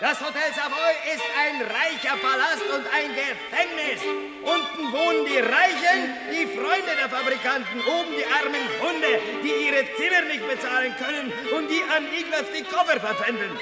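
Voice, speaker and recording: An actor playing an impassioned revolutionary agitator, shouting a declamatory speech to poor workers in a radio play. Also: male voice, extremely high pitch, moderate pace. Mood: fervent agitation. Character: fiery high-pitched revolutionary agitator rousing poor workers. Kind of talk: shouted radio drama oratory. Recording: echoey hall, loud music behind.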